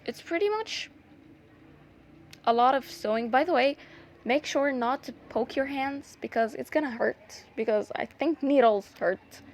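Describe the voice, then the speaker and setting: A young woman whose first language is Arabic, talking through a craft tutorial in a hesitant, casual way with many pauses and restarts. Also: high-pitched, animated, a little hiss or hum. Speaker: art vlogger.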